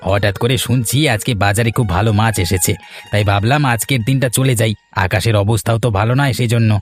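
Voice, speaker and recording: A man's voice: fairly low pitch, steady tone, quick pace, faint music behind. A man voicing a village fisherman in a cartoon, talking in an easygoing way to his wife and a friend. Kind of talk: cartoon dialogue.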